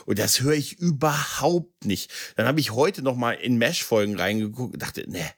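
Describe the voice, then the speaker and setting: A man with a mid-pitched voice chats informally as a guest on a podcast. His delivery is loose and colloquial, and he keeps turning to his listener for agreement. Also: animated, normal speed, a bit bright, breathy edge. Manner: colloquial, often seeking agreement with tag questions. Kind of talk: casual chat.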